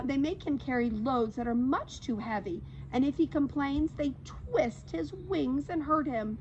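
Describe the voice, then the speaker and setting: A kindly old mother-like cat from a storybook, acted out by a woman, speaks in a high and animated voice. She tells her tale earnestly and without hurry. The sound is a little dull. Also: a little hiss or hum. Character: kindly old female cat telling of a captive dragon.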